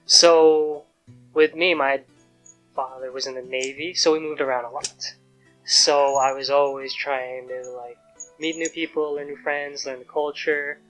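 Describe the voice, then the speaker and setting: Bright-voiced reflective man. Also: mid-range voice, steady tone, measured pace, soft background music, poor audio. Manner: reflective, explanatory. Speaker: interviewee. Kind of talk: personal interview.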